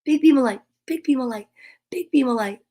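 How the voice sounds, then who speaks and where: A woman's voice: sits high, brisk, mellow, husky. A woman hosting a live children's story time, reading aloud and chatting to viewers with playful, encouraging exclamations. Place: at home.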